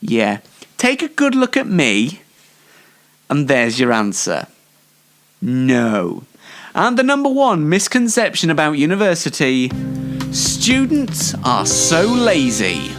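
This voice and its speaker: A man's voice: on the high side, wildly swooping pitch, takes it slowly, fairly bright tone, faint music behind. A young British man hosting an online comedy show, delivering a scripted monologue to camera in a wry, sarcastic, mock-formal way. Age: young adult. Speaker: host of an online entertainment show.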